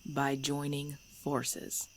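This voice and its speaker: A woman playing a centuries-old female vampire clan leader in an ASMR audio drama, composed and commanding, speaking in measured, formal phrases. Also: low-pitched, monotone, heavily acted. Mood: grave. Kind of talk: ASMR audio roleplay acting. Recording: background noise with chirping crickets.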